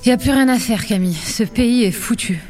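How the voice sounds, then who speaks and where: A woman's voice: fairly high pitch, lively intonation, fairly quick, darkish tone, soft background music, close to an everyday voice. An actress playing a disillusioned, sarcastic woman protester in a French audio drama, grumbling in a slangy, weary way. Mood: bitter despair. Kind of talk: French audio fiction acting.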